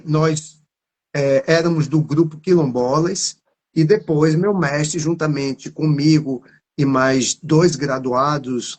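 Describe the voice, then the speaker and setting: A man from Salvador with a Bahian accent, talking at length as an interview guest and filling his speech with little tag words. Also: on the high side. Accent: Bahian Brazilian Portuguese. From Salvador, Bahia, Brazil. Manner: warm, rambling, many fillers like né and tá. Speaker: capoeira master.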